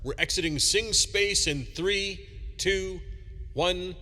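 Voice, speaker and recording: An actor playing an informal, wry starship navigator in an audio drama. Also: male voice, high-pitched, takes it slowly, bright, forceful tone, heavily acted. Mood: urgent.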